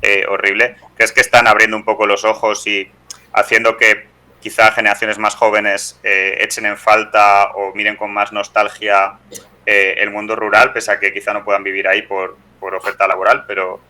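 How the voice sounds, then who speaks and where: A young man with an accent from Spain, asking long, halting interview questions in a conversational style. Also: lowish voice, monotone, sharp tone, low-quality recording. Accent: Spanish from Spain. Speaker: radio interviewer.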